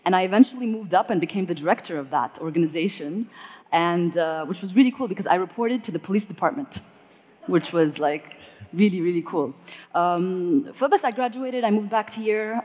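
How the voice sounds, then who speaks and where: A woman's voice: on the low side, darkish tone. A woman from eastern Saudi Arabia speaking on a conference panel, chatty and full of fillers. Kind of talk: panel discussion. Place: conference hall. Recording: hall reverb.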